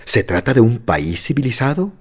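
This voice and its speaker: Male narrator doing a sweet old witch, gentle and lilting. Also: mid-range voice, big pitch swings, moderate pace, breathy edge. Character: kindly little old witch woman.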